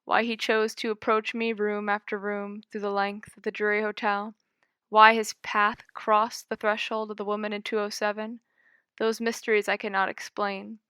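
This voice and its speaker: A woman reading a scary short story aloud in a measured, dramatic way. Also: mid-range voice, flat delivery, sharp tone, clean tone, no echo, studio quality. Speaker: audiobook reader. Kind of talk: scripted story narration.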